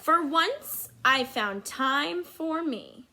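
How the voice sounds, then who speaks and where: Woman reading as an earnest storybook egg, very deliberate. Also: high voice, lively intonation, sharp tone, full character voice. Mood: calm. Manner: earnest, dramatic, drawn-out emphasis. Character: dutiful, overburdened male good egg telling his story.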